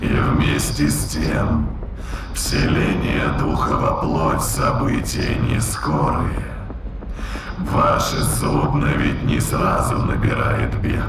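An actor playing an ancient spirit inhabiting an ape's body, haughty and menacing, speaking in solemn, archaic, contemptuous phrases in an audio drama. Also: male voice, rumbling low, sing-song, takes it slowly, heavy background noise, exaggerated voice. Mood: solemn, grave. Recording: echoing, distant voice under loud music.

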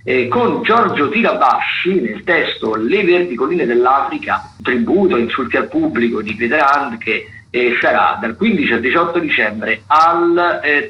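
A man's voice: mid-range voice, lo-fi sound. A man giving conversational radio listings, hesitating and repeating words as he goes. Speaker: theatre critic, radio contributor.

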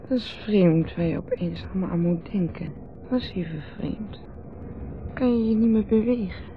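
Dreamy, rambling Dutch wife, played by an actress. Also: female voice, mid-range voice, normal speed, slight background noise, lightly acted. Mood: musing. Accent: Netherlands Dutch. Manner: rambling, repetitive, musing. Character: dreamy, rambling, teasing wife, drinking under a full moon. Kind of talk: 1970s radio drama acting. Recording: dull old radio recording with music.

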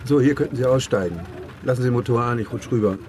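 An actor playing a terse army major on surveillance in a radio crime drama, giving short instructions. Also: male voice, medium pitch, fast, lightly acted. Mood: terse, watchful. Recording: noisy street and vehicle ambience.

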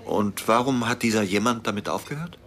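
Low-voiced gently probing man. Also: brisk. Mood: gentle questioning. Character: protective, probing American man named Tom. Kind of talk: radio drama acting.

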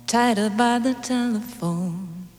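A woman sings very slowly in a long, washy reverb. A plucked guitar plays along behind her voice, which sits at a middle pitch. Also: a little mellow. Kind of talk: slow sung song with plucked guitar. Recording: long hall reverb, music. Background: plucked guitar music.

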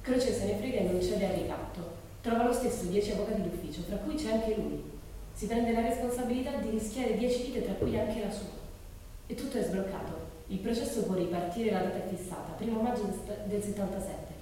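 A woman's voice: medium pitch, a little fast, warm tone, recorded from a distance, lightly acted. A woman playing a curious, outspoken teenage schoolgirl in a dramatic monologue, colloquial and incredulous. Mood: puzzled, intrigued. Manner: colloquial, incredulous, self-questioning. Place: large reverberant room, distant mic. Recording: echoey hall from afar, noisy.

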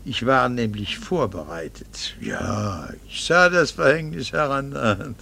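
Scharf klingender, verbitterter Ehemann mit knarrender Stimme. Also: male voice, mid-range voice, big pitch swings, slow pace, vocal fry, pushed and bright, slightly rough, exaggerated voice. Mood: coldly triumphant. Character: embittered, coldly vengeful middle-aged husband and thwarted academic. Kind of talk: German radio play acting.

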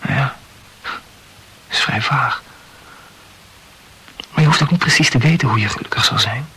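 An actor playing an evasive, self-absorbed lover in an intimate radio play, reasoning wordily in a breathy voice. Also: male voice, medium pitch, moderate pace, pushed and bright. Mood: musing. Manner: wordy, rationalizing.

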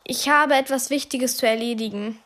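A woman's voice: fairly high pitch, moderate pace, a bit bright, slight background noise, close to an everyday voice. An actress playing an earnest, urgent twelve-year-old girl in a radio play. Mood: anxious, earnest.

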